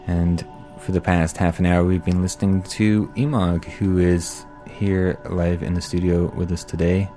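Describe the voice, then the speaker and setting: A man hosting a radio show from the studio, asking slow, halting questions with many fillers in a casual on-air interview. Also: deep voice, little pitch movement, warm tone. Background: faint ambient music.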